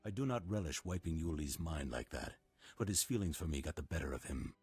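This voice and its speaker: An actor playing a grave, self-sacrificing Jedi master in a video game, speaking in a solemn, formal, measured way. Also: male voice, rumbling low, lively intonation, darkish tone, breathy edge, full character voice. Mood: solemn regret. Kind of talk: scripted video game acting. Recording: music behind the voice.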